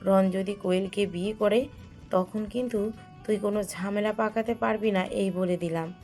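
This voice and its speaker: Lady acting a strict worried mom in an audio drama, medium pitch, music behind. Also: fairly even intonation, moderate pace, warm tone, lightly acted. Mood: worried, stern. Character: worried, stern mother, dutiful younger sister-in-law.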